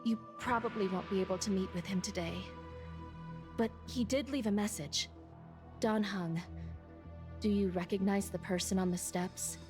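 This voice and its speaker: A woman's voice: medium pitch, moderate pace, long pauses, close to an everyday voice. A woman playing a dutiful, world-weary military officer in a video game, explaining things matter-of-factly. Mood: grave, weary. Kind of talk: English video game dialogue. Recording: game audio with music behind.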